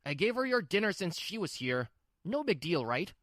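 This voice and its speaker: Manga dub role: dismissive, brusque husband played by a woman, lowered pitch, animated delivery, dry sound. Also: normal speed, no room sound. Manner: brusque, defensive. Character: stubborn, dismissive husband doting on his little sister. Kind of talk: scripted manga dub dialogue.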